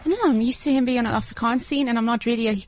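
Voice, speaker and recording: A woman from South Africa co-hosting a radio talk show, with a fairly high voice. She chats casually in the studio and giggles often. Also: steady tone, racing, darkish tone. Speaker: radio co-host.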